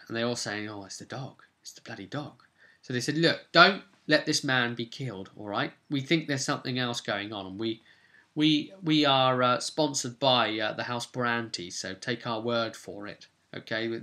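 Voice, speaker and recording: A man's voice: medium pitch, pushed and bright. A British man giving a chatty, rambling recap as a game master, telling the story casually with wry asides and loosely acted-out quotes. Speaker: game master recapping a tabletop role-playing session.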